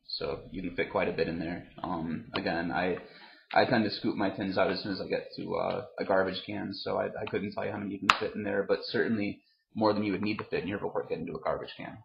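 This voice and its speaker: A man in his thirties giving an unscripted, rambling product review to camera, halting often and repeating his words. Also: low voice, vocal fry, noisy background. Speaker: vlogger reviewing tobacco products. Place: room at home, indoors. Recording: dull, roomy webcam sound.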